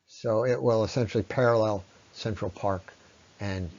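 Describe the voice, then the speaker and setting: A man narrating an informal slide presentation in an explanatory way, with halting pauses. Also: fairly low pitch, fairly even intonation, darkish tone, muffled recording. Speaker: presenter on local ecology.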